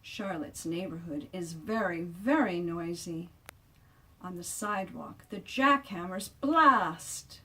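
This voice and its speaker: A woman author reads her children's picture book aloud expressively and deliberately, drawing out descriptive words for emphasis. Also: lowish voice, sharp tone, far from the mic.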